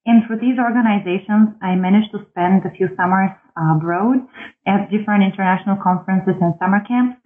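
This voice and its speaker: A woman in her thirties from Bulgaria, answering interview questions about her background in a measured way with frequent ums. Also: medium pitch. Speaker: guest author. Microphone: phone line. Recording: tinny phone line.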